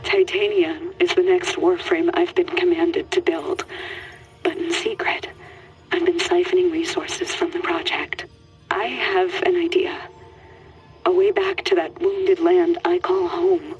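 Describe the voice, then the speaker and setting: An actress playing a remorseful, homesick scientist confessing in a secret video game audio log, hushed, breathy and slow. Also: female voice, very high voice, very animated, lo-fi sound, faint music behind, full character voice. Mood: wistful, secretive.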